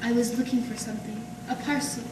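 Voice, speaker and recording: A secretive femme fatale from 1940s radio noir played by a woman, high-voiced, earnest and pleading in a dramatic way. The sound is distant and echoey, with clear noise around the performance. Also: flat delivery, brisk, close to an everyday voice. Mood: confiding. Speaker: amateur stage radio-drama actress. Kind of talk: 1940s radio melodrama acting. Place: live performance in an art gallery. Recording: distant mic in echoey noisy room.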